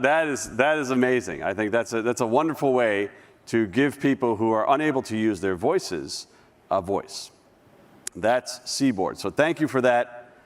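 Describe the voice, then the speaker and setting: A man presenting a live software demo on stage, talking casually and restarting sentences as he clicks through. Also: mid-range voice. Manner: conversational, with restarts while demoing. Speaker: conference presenter. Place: large conference hall stage. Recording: long reverb of a large hall.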